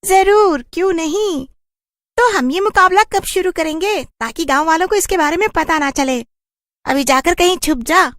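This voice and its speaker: Shrill, scolding village witch. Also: moderate pace, a bit bright, no room sound, clean studio sound. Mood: challenging. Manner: scolding, indignant exclamations. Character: cranky, territorial village witch, easily outraged.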